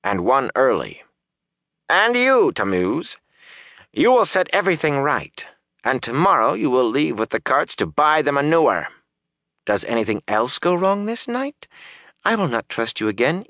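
A man's voice: fairly high pitch, sing-song, normal speed, a bit bright, dry recording, clean studio sound, voice pushed up. A man voicing an irate, imperious woman who scolds her underling in haughty, stilted phrasing, for an audiobook story. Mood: bossy, scornful.

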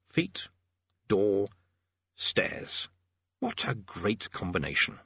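A man's voice: fairly low pitch, wildly swooping pitch, drawn-out words, fairly bright tone, husky, no echo, lo-fi sound, full character voice. A man voicing a wary, sarcastic, put-upon wizard with a dry manner in a storybook reading. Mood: wary, scheming. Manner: dry, sardonic.

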